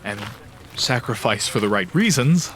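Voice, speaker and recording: Man playing calm, solemn wise mentor. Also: mid-range voice, a little hiss or hum, close to an everyday voice, rough recording. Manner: solemn, reassuring, measured. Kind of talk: fantasy audio drama acting.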